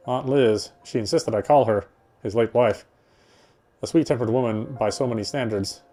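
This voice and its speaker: A man reading first-person visual novel narration aloud in a soft, reflective, dramatic storytelling way. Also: mid-range voice. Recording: music behind the voice. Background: game music.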